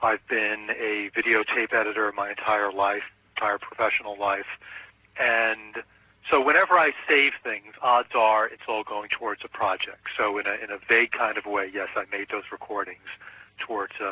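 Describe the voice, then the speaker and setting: A man's voice: fairly low pitch, fairly even intonation, moderate pace, husky. A middle-aged man being interviewed by telephone as a video editor and artist, explaining himself in a reflective, careful way. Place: on a telephone call. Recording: tinny phone line with room echo.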